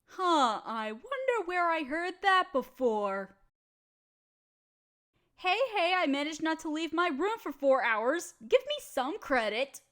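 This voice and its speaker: A woman plays a young female roommate cooped up at home in a casual audio drama. Her voice is high, and she is bored and restless. She chats in slang with a dramatic, playful grumble, speaking straight to the listener. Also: big pitch swings, takes it slowly, a bit bright, heavily acted. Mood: upbeat, playful. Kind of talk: casual scripted roleplay audio, talking to the listener.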